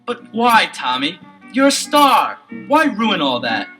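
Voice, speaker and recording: An actor playing an earnest, clever teenage boy detective in a scripted audio adventure, explaining things in a deliberate, dramatic way. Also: high voice, huge pitch swings, bright, forceful tone, heavily acted. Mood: confident, probing. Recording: 1970s LP radio-play with music behind.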